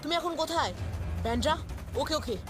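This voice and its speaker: A woman's voice: sits high, fast talker, close to an everyday voice. A woman voicing a brave, earnest young boy hero in a cartoon, confident and reassuring. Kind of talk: cartoon dub acting. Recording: music under the voice.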